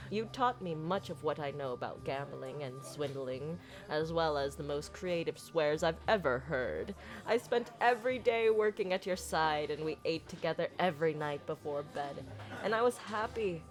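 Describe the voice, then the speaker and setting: Flirty, low-voiced pirate captain played by a woman. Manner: theatrical, flowery, sincere phrasing with deliberate pauses. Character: flirty silver-tongued female pirate captain, tender and grateful. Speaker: audio roleplay performer. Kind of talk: ASMR roleplay monologue, romantic storytelling. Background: background music.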